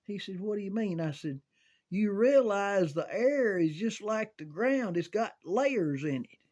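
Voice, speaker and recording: An older man telling casual, rambling recollections in a halting, folksy way, as if thinking aloud. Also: sits high, animated, darkish tone. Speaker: amateur storyteller reminiscing about CB radio.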